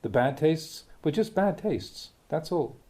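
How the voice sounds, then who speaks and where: A man reading a Buddhist teaching aloud as a Dhamma talk, in measured, deliberate phrases with emphatic contrasts. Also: a little high, lively intonation, dark tone.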